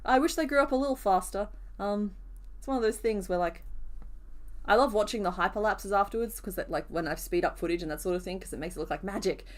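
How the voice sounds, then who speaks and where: Woman host, crafting live stream, voice a bit hoarse today, chatty and easygoing with her chat. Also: mid-range voice, quick pace. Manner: chatty, answers viewers' comments as she works. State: rough, hoarse voice. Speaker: crochet streamer. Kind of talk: casual live-stream chat while crocheting.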